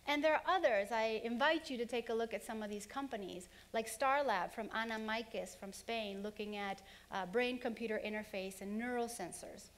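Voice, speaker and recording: A middle-aged woman who grew up in Honduras, giving an earnest conference keynote and running through examples in quick lists. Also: fairly high pitch. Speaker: keynote speaker. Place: conference hall.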